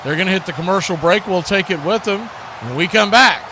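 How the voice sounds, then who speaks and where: A man's voice: sits high, animated, brisk. A man doing live play-by-play commentary of an American football game as the announcer. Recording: loud background noise.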